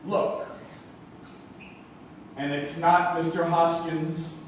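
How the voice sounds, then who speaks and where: An actor playing a frantic, sardonic young nephew in a comic stage play, flustered and sputtering. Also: male voice, fairly high pitch, level tone, extremely slow. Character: frantic, exasperated theatre critic nephew, sardonic young man. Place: small theatre, live performance. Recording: echoey stage recording from far off, noisy.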